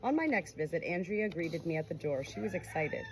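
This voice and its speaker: Woman, audiobook reader, expressive memoir narration, animated intonation, slightly low voice. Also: brisk, very noisy.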